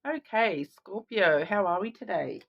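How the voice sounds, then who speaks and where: A woman's voice: medium pitch, animated, a little slow, gravelly, highs cut off. A woman with a cold, sounding sniffly, giving a chatty card reading to viewers and often checking in with "okay?". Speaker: tarot card reader.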